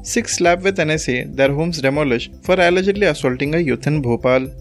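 A man's voice: fairly high pitch, a little fast. Indian-accented man reading news over music. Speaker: news presenter. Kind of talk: scripted news bulletin reading.

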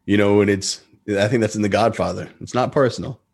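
Guy hosting a podcast, lowish voice, chatty and informal, backs up his guest. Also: fairly quick, creaky. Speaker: podcast host. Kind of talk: casual chat.

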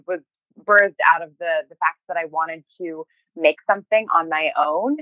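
A woman talking casually as an interview guest, halting and often rephrasing as she tells her story. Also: on the low side, dry recording. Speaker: podcast interview guest, writer and creator. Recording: thin, phone-like narrow band.

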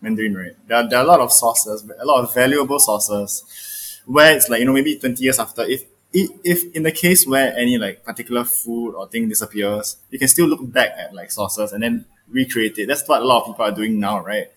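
A man's voice: medium pitch, fairly quick, fairly bright tone, slightly rough, low-quality recording. A young man, a guest in a casual panel chat, earnest and often repeating words. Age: young adult.

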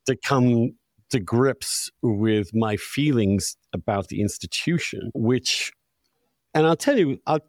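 A man's voice: mid-range voice, slow pace, darkish tone, dry recording, clean studio sound. A man speaking emphatically and with strong opinions in a conversational interview, as a podcast host.

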